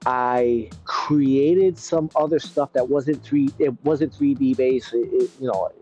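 A man's voice: medium pitch, takes it slowly, soft background music, rough recording. Easygoing New York man on a video call. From Brooklyn, New York. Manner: relaxed, rambling with many fillers. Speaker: guest artist. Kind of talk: casual interview chat. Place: outdoors in a city courtyard.

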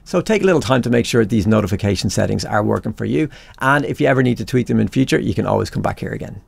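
Male tutorial presenter, heavy vocal fry, casual explanatory screencast read, close and dry. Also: lowish voice, lively intonation, fast talker, no room sound. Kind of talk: instructional screencast narration, conversational.

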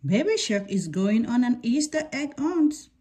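A woman's voice: fairly high pitch, big pitch swings, measured pace, mellow, husky. A woman reading a children's picture book aloud, breaking into sing-song refrains. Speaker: read-aloud story presenter.

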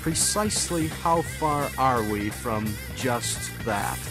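A man's voice: mid-range voice, lively intonation, slow pace. A man reading scripted mock-scholarly radio commentary in a formal, pompous manner. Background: guitar music.

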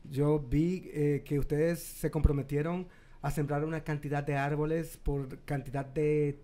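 Quick, lively radio host man. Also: a little high. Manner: lively, quick, helps the guest with words. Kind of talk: radio interview, bilingual Spanish and English. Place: radio studio. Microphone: studio microphone on a boom arm, with headphones.

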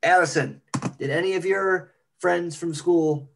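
A man's voice: fairly high pitch, unhurried, vocal fry, slight background noise. A joking man chatting casually on a panel video call. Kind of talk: casual chat. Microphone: computer mic on a video call.

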